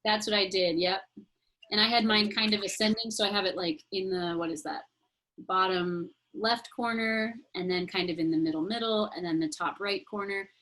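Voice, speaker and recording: A woman's voice: mid-range voice, steady tone, normal speed, fairly bright tone. A woman teaching a casual online craft class over a video call, explaining steps in a chatty way. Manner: chatty, friendly explaining with fillers.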